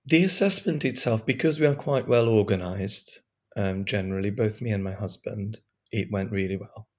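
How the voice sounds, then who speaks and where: A man from talks slowly through a podcast chat, stopping and starting often. His voice is a little low and still hoarse from a recent illness. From Eastern Europe. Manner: hesitant, many restarts and fillers. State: hoarse, recovering from lost voice. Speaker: podcast guest. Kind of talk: casual conversation.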